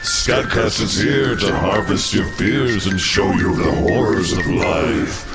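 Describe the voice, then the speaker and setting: A man's voice: lowish voice, voice pushed down. A man voicing a sinister horror narrator who croons menacingly like a nursery rhyme for a comic audio show. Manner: slow, menacing lullaby delivery. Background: loud music.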